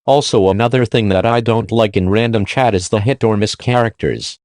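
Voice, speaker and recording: A man's voice: on the low side, normal speed, background noise. A man hosting and narrating a scripted countdown review in an informal, slangy way. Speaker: video host and reviewer.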